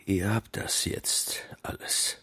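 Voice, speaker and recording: A man playing an opinionated middle-aged religious husband in a radio play, speaking deliberately and provocatively. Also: sharp tone, in a whisper. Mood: needling, envious.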